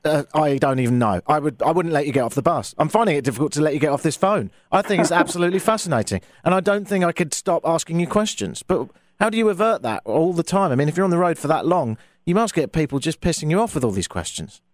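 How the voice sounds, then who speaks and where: British man, host, chatty, animated pitch, quick, dry close sound. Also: no echo. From United Kingdom. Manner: chatty and animated, acts out little dialogues. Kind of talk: casual interview.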